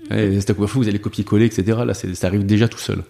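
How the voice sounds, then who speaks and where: Low gravelly-voiced man, halting speech. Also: fairly even intonation, warm tone. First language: French. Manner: hesitant, many fillers and restarts. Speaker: guest, software developer. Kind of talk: casual conversational interview.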